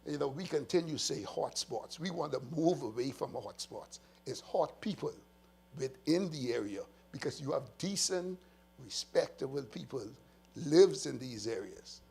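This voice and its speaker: A man's voice: a little high, animated, normal speed, gravelly, a little mellow, breathy edge. A Bahamian man, a police official, giving an earnest, emphatic press briefing as he walks through slides. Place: press conference room.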